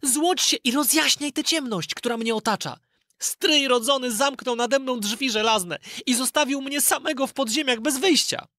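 A man voicing a bold young male fairy-tale hero, calling out in a high, urgent, eager way. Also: normal speed, sharp tone, no room sound, studio quality. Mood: pleading.

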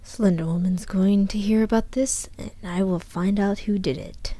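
A woman's voice: lowish voice, takes it slowly, warm tone. A woman voicing a fussy young female creature obsessed with cleanliness, who talks in clipped, disgusted whispers. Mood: whispering.

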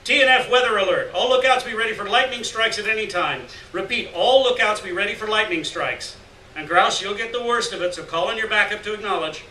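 A man voicing a brisk fire-lookout dispatcher in a live story reading, loud, clipped and official. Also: high voice, voice pushed up. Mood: urgent, official. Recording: roomy, distant mic, faint noise.

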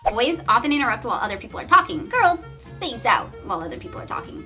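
A woman delivers a scripted explainer in a bright, high voice that swoops up and down. Her delivery is bouncy and exclamatory. An upbeat music bed runs clearly underneath her. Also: sing-song, fast talker. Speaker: YouTube explainer presenter.

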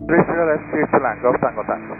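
Male pilot firing off short radio readbacks fast, dull lo-fi sound, music behind. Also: fairly high pitch. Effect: aviation radio. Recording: muffled cockpit radio transmission, music behind. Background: background music.

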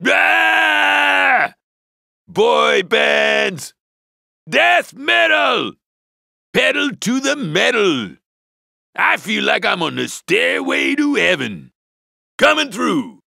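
An actor with an American accent playing a laid-back stoner metalhead school bus driver in a cartoon video game, with a raspy slacker drawl. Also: male voice, extremely high pitch, very animated, sharp tone, dry recording, shouting, clean studio sound, heavily acted. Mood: rowdy, hyped. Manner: spaced-out slacker slang, drawn-out words.

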